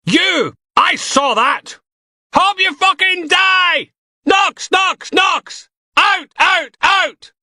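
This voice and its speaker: A furious mob bellows chants and threats at a shrill, screaming pitch. The shouting is loud and forceful, and it pushes far above his usual voice. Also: animated, very slow, no room sound, exaggerated voice. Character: angry chanting mob, men and women.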